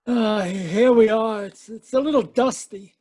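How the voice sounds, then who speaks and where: A man's voice: high-pitched, unhurried, mellow, soft background music. A man playing a stammering old eccentric. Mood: nervous. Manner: stammering, hesitant. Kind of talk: amateur radio drama reading. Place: home over video call.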